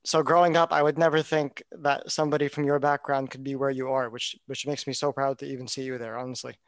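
A man's voice: medium pitch, little pitch movement, fast, no room sound. Hesitant young man on a dry mic. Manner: hesitant, repeats words while asking. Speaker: podcast host. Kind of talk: interview questions.

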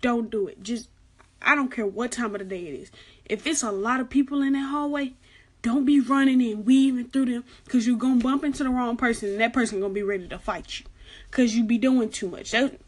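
A soft-spoken young woman with a light, girlish voice that sits a little high. She gives casual vlog advice in a chatty way and repeats her warnings for emphasis. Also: a little fast. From Arkansas, United States. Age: late teens. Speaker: vlogger giving school advice.

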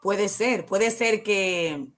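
A woman with a Dominican accent, a guest counselor on a talk show, explaining ideas in a calm, teacherly way and answering her own questions. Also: medium pitch, very slow, soft background music.

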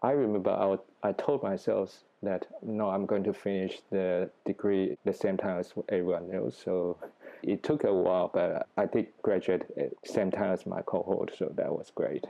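Casting note: Taiwanese-accented male researcher, forties, low mellow voice, thoughtful and plain. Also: steady tone, moderate pace, gravelly, low-quality recording. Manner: thoughtful, plain-spoken, with small grammar slips. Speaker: guest researcher. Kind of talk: interview answers.

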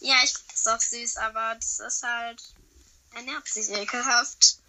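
Hoarse girl on the phone, sharp bright voice, lots of ums, bit of hiss. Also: on the high side, fairly even intonation, unhurried, rough recording. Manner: hesitant, many fillers. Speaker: podcast guest. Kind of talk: casual chat. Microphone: phone call.

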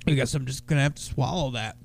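A young man co-hosting a casual podcast, stuffy from a cold, talking in loud, crude, slangy banter. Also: mid-range voice, fast talker, creaky. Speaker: podcast co-host.

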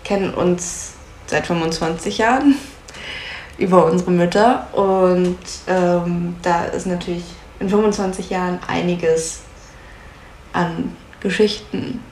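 A woman in her twenties from Munich, co-hosting a podcast in casual chat, chatty and quick to laugh, with frequent filler sounds. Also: lowish voice, darkish tone. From Munich, Germany. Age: 20s. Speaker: podcast co-host. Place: at home in Munich. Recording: echoey room with faint noise.

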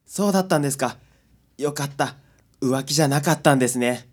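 A man's voice: medium pitch, fast talker, slightly rough, lightly acted. A man playing an anxious husband and detective's client in a radio drama, hesitant and easily flustered. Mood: relieved, moved.

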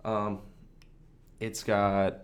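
A young American man with a fairly low voice talks casually as a podcast co-host. His manner is slangy, laid-back and keen, like a relaxed chat between buddies. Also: drawn-out words, clear, resonant tone.

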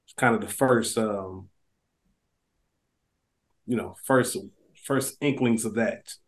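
A man co-hosting a podcast, adding measured, analytical remarks. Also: lowish voice. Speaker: podcast co-host. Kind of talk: casual chat.

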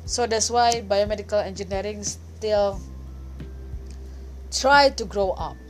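A woman's voice: medium pitch, takes it slowly. A young woman from Indonesia with an Indonesian accent, hosting a solo podcast in English and often stopping to restart or correct herself. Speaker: podcast host. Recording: music playing underneath.

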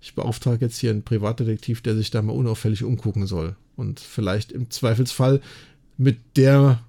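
A man's voice: on the low side, fairly even intonation, normal speed, creaky, dark tone. A man with a German accent, co-hosting a podcast, casually retelling and analysing a story in a chatty way full of tag questions. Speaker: podcast host.

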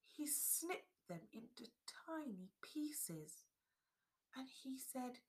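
A woman reading a children's storybook aloud in an expressive storytelling style. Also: high-pitched, extremely slow. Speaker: storybook reader.

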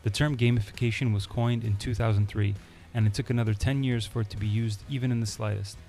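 A man hosting an interview podcast, introducing a guest and asking relaxed, conversational questions. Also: fairly low pitch, quick pace, soft background music. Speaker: podcast host.

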